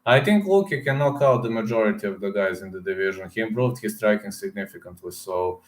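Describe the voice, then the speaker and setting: A fairly low-voiced man with a Serbian accent hosts a relaxed live stream at his desk mic. He answers viewers in English as he goes, and he says things over several times with lots of "uh"s. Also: brisk. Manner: talks straight to chat, repeats words, many fillers. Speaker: livestream host reading chat. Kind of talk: casual live-stream chat about fight picks.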